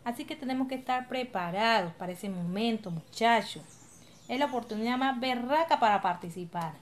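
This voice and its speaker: A woman's voice: fairly high pitch, lively intonation, a little fast, natural voice. A woman with a Colombian accent acting an encouraging, patient village leader in an educational radio drama, explaining things colloquially. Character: encouraging, patient woman leading her coastal village community.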